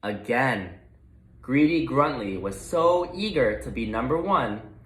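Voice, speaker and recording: A man's voice: mid-range voice, animated, slow, fairly bright tone. A man narrating a children's picture book expressively as a read-aloud storyteller, in a roomy recording.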